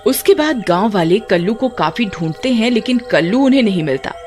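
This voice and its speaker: A woman reads out a scripted tale as narrator. Her mid-pitched voice moves up and down in lively fashion, over faint music. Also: brisk. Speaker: storyteller. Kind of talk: scripted Hindi story narration.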